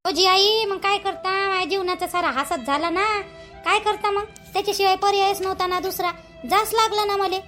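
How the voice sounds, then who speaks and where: Woman voicing a very high-pitched village daughter-in-law. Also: steady tone, fairly quick, bright, forceful tone, faint music behind. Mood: complaining. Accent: Vidarbha Marathi. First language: Marathi. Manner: colloquial, rustic, complaining. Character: gossipy, grumbling village daughter-in-law in a family cartoon. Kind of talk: village cartoon dialogue.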